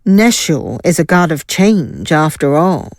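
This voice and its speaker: A woman's voice: deep voice, big pitch swings, a little slow, dry recording, clean studio sound, heavily acted. A woman playing an assured, wry guild fighter in a fantasy audio drama. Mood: confident. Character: Ilaeda, confident wry female guild fighter. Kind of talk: scripted audio drama acting.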